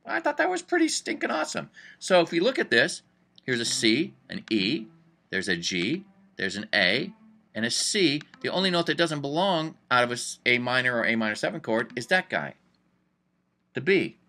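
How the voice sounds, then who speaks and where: Male music teacher, bright tone, chatty and upbeat, casual explainer. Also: mid-range voice, wildly swooping pitch, normal speed, creaky. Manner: chatty, upbeat, thinks aloud with fillers. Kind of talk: casual screen-recorded lesson.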